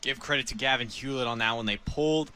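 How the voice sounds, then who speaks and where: Bright-voiced American football color analyst. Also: male voice, mid-range voice, fast.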